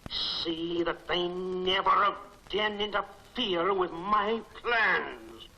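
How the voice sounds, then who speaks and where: Slow, piercing, menacing crime lord. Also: male voice, sits high, gravelly, pushed and bright, heavily acted. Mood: menacing, commanding. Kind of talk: 1940s film serial acting. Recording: noisy old 1940s film soundtrack.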